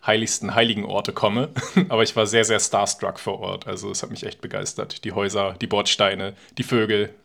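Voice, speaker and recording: A man's voice: lowish voice, level tone, brisk, vocal fry, fairly bright tone. A man co-hosting a podcast, chatting and telling stories casually in a playfully teasing way. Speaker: podcast co-host. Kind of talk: casual, bantering podcast storytelling.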